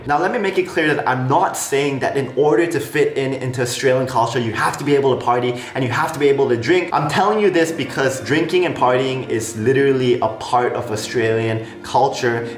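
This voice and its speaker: A young man from Malaysia with a slight American accent, talking casually to camera as a vlogger in a chatty, frank way. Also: mid-range voice. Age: young adult. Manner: chatty, frank, lots of "like" and "honestly". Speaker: vlogger, international student. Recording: roomy echo with music behind.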